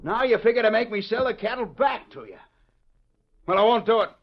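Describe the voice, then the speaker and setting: Angry high-voiced western cattle boss. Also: male voice, lively intonation, fairly quick. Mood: angry defiance. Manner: blustering, commanding, folksy western phrasing. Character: angry, stubborn cattle boss, a defiant schemer. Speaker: actor. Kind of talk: 1940s western radio drama acting. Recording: dull, lo-fi old radio recording.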